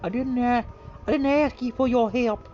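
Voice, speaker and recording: A man voicing a brash, sharp-tongued young woman adventurer who talks in an indignant, mouthy way. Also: extremely high pitch, steady tone, normal speed. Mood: scornful. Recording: muffled, faint music behind.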